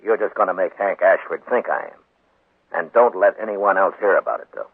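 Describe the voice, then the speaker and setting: An actor playing a firm, shrewd American frontier marshal in a 1950s radio western, dry and commanding, with a husky voice. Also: male voice, deep voice, a little fast, no echo. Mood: calm, scheming. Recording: 1950s radio broadcast, narrow tinny sound.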